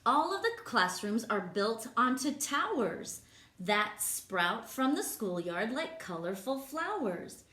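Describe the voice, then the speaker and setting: An imaginative young boy, voiced by a woman reading a storybook aloud, chants his dream-school rhymes in a high, swooping, sing-song voice. The delivery is slow and bouncy. It is heard in a room with a noticeable echo. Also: very animated, sharp tone, heavily acted. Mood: excited. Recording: echoey room.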